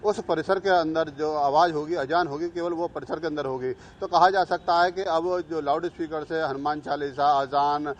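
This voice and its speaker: A man giving an unscripted live news report, halting and long-winded as he searches for words. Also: fairly high pitch, steady tone, muffled recording.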